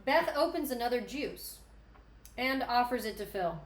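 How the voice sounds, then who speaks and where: A woman's voice: fairly high pitch, expressive, normal speed. A woman reading stage directions as the narrator of a screenplay table read. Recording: echoey room, background noise.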